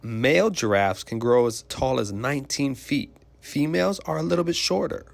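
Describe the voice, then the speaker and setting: A man's voice: medium pitch, lively intonation, moderate pace, gravelly, no room sound. A man reading a children's picture book aloud like a teacher, explaining simply and putting questions to young listeners.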